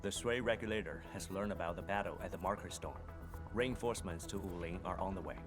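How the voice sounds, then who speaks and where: Male actor as deep-voiced soldier, stoic, formal, blunt, game dialogue, music behind. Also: quick pace, lightly acted. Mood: measured, reserved. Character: stoic, terse male military operative reporting as a soldier. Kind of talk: video game voice acting.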